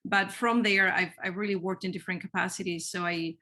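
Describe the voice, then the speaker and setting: A woman's voice: lowish voice, fairly even intonation, a little fast, bright, forceful tone. A woman in her forties from Spain, a marketing executive answering interview questions earnestly, often repeating words as she restarts. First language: Spanish. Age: 40s.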